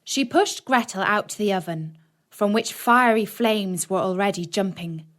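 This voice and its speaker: A woman narrating a scripted children's story in a dramatic, deliberate storytelling manner. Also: mid-range voice, a bit bright. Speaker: audiobook narrator.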